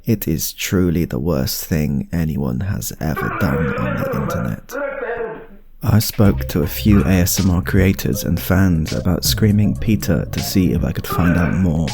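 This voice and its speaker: A man narrating a mock-serious ASMR documentary in a solemn, dramatic, deliberate manner. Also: low-pitched, lively intonation, gravelly. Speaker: narrator, ASMR video creator. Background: music.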